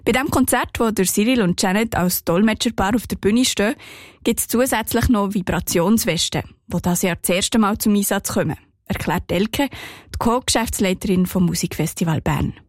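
A woman's voice: medium pitch, normal speed, no echo, clean studio sound. Swiss German woman reporting on radio. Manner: calm, clear storytelling delivery. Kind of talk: scripted radio report narration.

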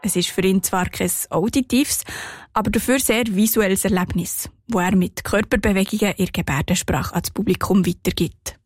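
A woman with a Swiss German accent narrating a scripted radio report in a calm, clear storytelling manner. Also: medium pitch, normal speed, no room sound, studio quality.

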